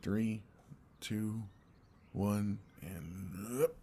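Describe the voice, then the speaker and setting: A young man playing an exasperated, earnest and oblivious best friend in an intimate audio roleplay, speaking in a halting, conversational way. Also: on the low side, fairly even intonation, dark tone, slight background noise. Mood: mildly exasperated.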